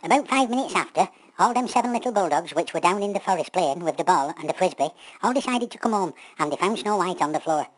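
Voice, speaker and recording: A woman, telling a children's pantomime story in an animated, chatty, colloquial way, speaking straight to her listeners. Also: on the low side, fast talker, low-quality recording.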